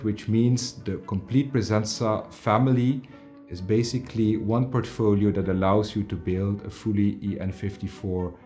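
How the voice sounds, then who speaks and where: Middle-aged man, lowish pitch, product presenter, explanatory delivery, faint music behind. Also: darkish tone. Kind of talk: semi-scripted product presentation to camera.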